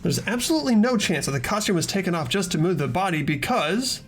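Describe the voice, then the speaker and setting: A man voicing an earnest teenage boy detective who reasons deliberately through clues in a fan-dubbed game. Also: high voice, sing-song, faint music behind. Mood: pressing, insistent. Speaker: amateur voice actor in a group playthrough. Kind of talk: fan dub of a visual novel game.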